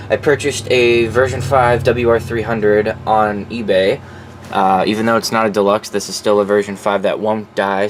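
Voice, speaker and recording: A man's voice: on the low side, slight background noise. A man presenting his collection in a casual, off-the-cuff, informal way, sometimes profane. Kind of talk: casual off-the-cuff show-and-tell.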